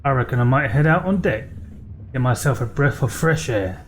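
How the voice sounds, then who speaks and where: A man's voice: medium pitch, animated, moderate pace, a little hiss or hum, natural voice. A man voicing a chatty male peddler on a ship in a game, talking in a folksy, rambling way. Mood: friendly. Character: chatty male merchant sailor passenger.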